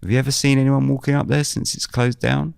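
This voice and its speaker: A man playing a weary investigator who narrates a scripted audio drama in the first person, measured and brooding. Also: medium pitch, flat delivery, creaky, darkish tone, clean tone, no echo. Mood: inquiring. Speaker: narrator and lead character.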